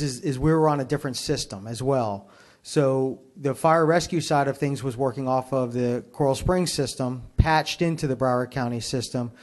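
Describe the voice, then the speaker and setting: A man with a mid-range voice speaks as a fire chief at a public meeting. He explains his points formally and in measured phrases, answering questions put to him. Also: medium pitch, darkish tone.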